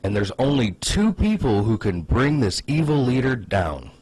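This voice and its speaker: A man hosting a casual comedic commentary video, pitching ideas in a wry, sarcastic, opinionated way. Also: sing-song, moderate pace, a little mellow, husky, no room sound, poor audio.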